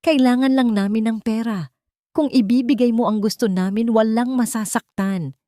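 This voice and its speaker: A woman's voice: a little high, normal speed, mellow, no echo, clean studio sound, voice pushed up, close to an everyday voice. A woman voicing a frightened, desperate female intruder who makes nervous threats in an audio story. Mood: scared, threatening. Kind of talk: dramatic audio story dialogue.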